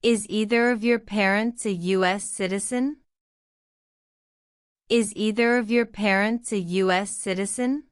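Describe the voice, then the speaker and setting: Woman, practice-drill reader, repeats every line, unhurried, crisp and dry. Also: mid-range voice, fairly even intonation, no echo. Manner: reads each line twice, slow and deliberate. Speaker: citizenship test practice narrator. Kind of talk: slow repeated question-and-answer drill.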